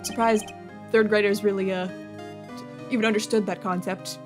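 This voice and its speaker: A woman's voice: medium pitch, fairly even intonation, moderate pace. A woman reading a game's dialogue aloud, playful, with jokey asides. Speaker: let's play streamer. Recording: game music behind.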